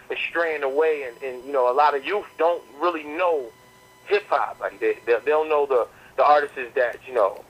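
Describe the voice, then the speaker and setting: A man's voice: mid-range voice, a bit bright. A man from the southern United States, a rapper, talking casually and slangily as a phone interview guest, often repeating words as he builds a point. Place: on a landline phone call. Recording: noisy landline phone call.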